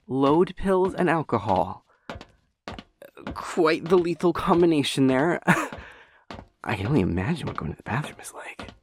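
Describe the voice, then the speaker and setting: Man playing excitable, swooping, inquisitive young doctor. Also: fairly high pitch, a little slow, a little hiss or hum. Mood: curious. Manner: hesitant, stammering, earnest. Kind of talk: sci-fi audio drama acting. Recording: music bed underneath.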